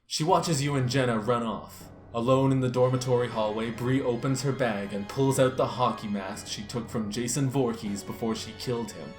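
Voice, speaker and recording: Young adult narrator-host for a group story reading: mid-pitched, informal, echoey, light music underneath. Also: normal speed, few pauses. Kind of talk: dramatic story narration and casual chat.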